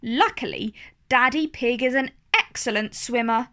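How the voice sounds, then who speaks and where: A woman storyteller reading a children's storybook aloud, expressive and carefully enunciated for young listeners. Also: a little high, a little slow.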